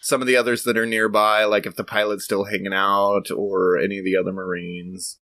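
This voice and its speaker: Low-voiced man narrating a tabletop game. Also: level tone, pushed and bright, dry recording. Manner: loose, halting, conversational. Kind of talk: casual narration of own character's actions.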